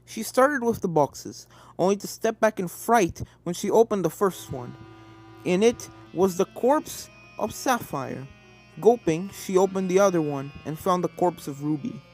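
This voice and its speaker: Lively woman reading a story aloud. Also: on the low side, unhurried, rough recording. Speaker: narrator. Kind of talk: expressive scripted story narration. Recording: music behind the voice.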